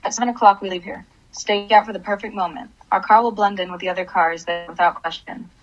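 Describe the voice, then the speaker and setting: A woman's voice: mid-range voice, fairly even intonation, fast, highs cut off, soft background music, close to an everyday voice. An actress playing a grief-crazed, vengeful mother in a radio play, intense and commanding. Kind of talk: dramatic radio play acting with narration.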